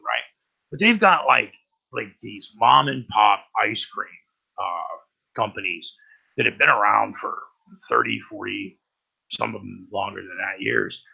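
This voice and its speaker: Raspy man with a cold, bright pushed tone, rambling profane stream host. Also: on the low side, lo-fi sound. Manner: profane and rambling, lots of "right?". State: has a cold. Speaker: livestream host. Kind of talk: rambling casual talk about news.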